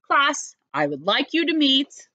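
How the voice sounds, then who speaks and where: A woman voicing a gushing, brisk, welcoming female school principal in a storybook. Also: high voice, sing-song, bright, forceful tone, no echo, voice pushed up, exaggerated voice. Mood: announcing. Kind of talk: storybook character voice.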